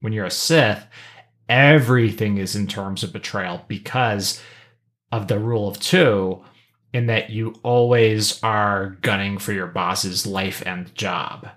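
A man's voice: on the low side, fairly even intonation, slow, fairly bright tone. A man co-hosting a podcast, talking through his analysis casually in a thoughtful, explanatory manner. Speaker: podcast co-host.

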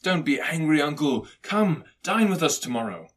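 A cheerful young gentleman nephew from a classic storybook, played by a male reader. His voice is raised high and bright, eager and warm-hearted, pleading earnestly. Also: animated, normal speed. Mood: entreating. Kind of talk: dramatised classic storybook reading.

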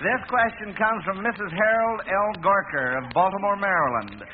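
A man's voice: high voice, lively intonation, normal speed. An American man with folksy, genial patter hosting a 1940s radio quiz show. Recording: old tinny radio recording with hiss.

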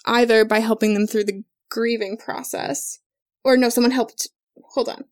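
A woman with a slightly high voice chats casually as a player in a tabletop roleplaying session. Her delivery is playful and informal. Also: fairly even intonation, moderate pace. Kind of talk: casual chat during tabletop game character creation.

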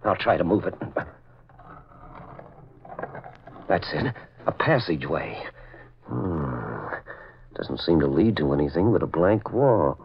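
An actor playing a bold, decisive crime fighter in a 1940s radio drama, speaking in a commanding, deliberate way. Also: male voice, low-pitched, big pitch swings, exaggerated voice. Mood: intent, searching. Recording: dull, faintly noisy old radio recording.

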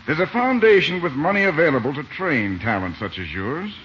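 An American actor playing a polite, hesitant choir director in a 1940s radio comedy. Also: male voice, a little high, sing-song, brisk, fairly bright tone. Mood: encouraging. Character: courteous, hesitant choir director bringing good news. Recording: muffled, noisy vintage radio recording.

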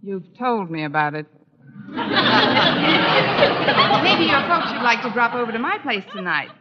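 A woman acting a sharp-witted schoolteacher in an old radio comedy, delivering dry, sardonic wisecracks in an arch manner. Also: fairly high pitch, very animated, a little slow, over a noisy crowd. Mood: arch, wry. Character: wry, sharp-witted single female schoolteacher. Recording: dull, noisy old radio recording.

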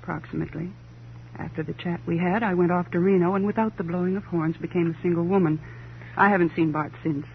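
A woman's voice: lowish voice, fairly even intonation, fast, gravelly. An actress playing a wealthy, proud divorced woman in a 1950s radio crime drama, with an arch, sardonic, flippant manner and affected society phrasing. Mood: arch, breezy. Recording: muffled old radio recording, hum and hiss.

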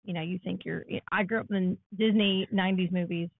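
A woman in her thirties with a naturally raspy voice, co-hosting a casual podcast chat. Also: on the low side, fairly even intonation, fairly quick. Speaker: podcast co-host. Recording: dry, phone-like lo-fi sound.

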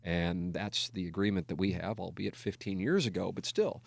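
A man's voice: deep voice, moderate pace, creaky, no echo. An American man in his forties talking candidly and casually as a radio host, rambling, self-deprecating and often swearing. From United States, Missouri. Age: 40s. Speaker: radio talk show host. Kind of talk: candid reflective casual talk.

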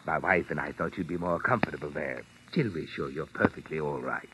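An actor playing a kindly, fatherly boss in an old-time radio drama, gentle and reassuring. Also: a bass voice, a little fast. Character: kindly, fatherly male boss, soothing and solicitous. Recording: dull, noisy old radio recording.

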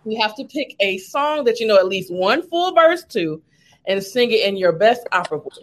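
A woman's voice: mid-range voice, sing-song. A woman hosting a casual interview, asking playful questions and teasing her guest. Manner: playful, teasing, quick to laugh. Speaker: host.

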